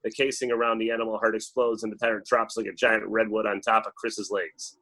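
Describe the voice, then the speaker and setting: A man's voice: lowish voice, level tone, fairly quick, bright, forceful tone, low-quality recording. A man reading stage directions as dramatic scripted narration at a table read.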